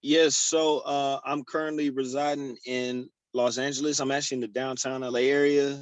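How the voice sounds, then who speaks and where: An easygoing man from Houston, Texas introduces himself on a video call and slips in a joke as he goes. His voice is mid-pitched and sounds dry and close, with no room echo. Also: little pitch movement, moderate pace. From Houston, Texas, USA. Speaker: actor. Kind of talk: casual video call chat.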